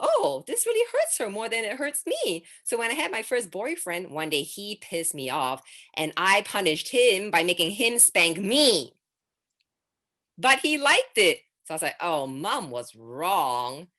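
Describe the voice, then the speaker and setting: A young woman from Singapore performing a stand-up set, deadpan delivery with sudden high-pitched exclamations. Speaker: stand-up comedian.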